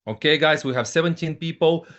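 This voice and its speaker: A man's voice: fairly high pitch, fairly quick, fairly bright tone. Hesitant man on a video call. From Bulgaria. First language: Russian. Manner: hesitant, frequent fillers, repeats "okay, guys". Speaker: host, company ambassador. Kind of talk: live online business presentation. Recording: dull lo-fi video call audio.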